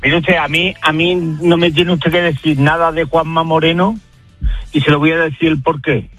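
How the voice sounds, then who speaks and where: Older Spanish man, earnest and highish. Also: flat delivery, moderate pace, fairly bright tone, soft background music. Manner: earnest, emphatic. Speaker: member of the public on a phone call.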